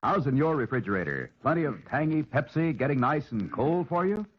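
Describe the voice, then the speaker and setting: Vintage radio announcer, man, animated and slightly high, lo-fi recording. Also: fairly quick, husky. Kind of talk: scripted 1940s radio announcing. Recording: dull lo-fi old radio recording.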